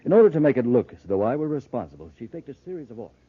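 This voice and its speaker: A man's voice: mid-range voice, sing-song, racing, breathy edge. An actor playing an honest, nervous businessman, hesitant and earnest, in a 1950s radio serial. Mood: earnest, anxious. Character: nervous but honest businessman under blackmail. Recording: narrow, tinny old 1950s radio recording.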